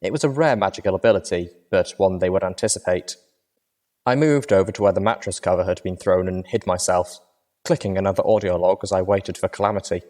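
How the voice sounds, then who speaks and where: A man narrating a fan fiction story in first person at a measured, steady pace. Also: sits low. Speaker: audiobook reader.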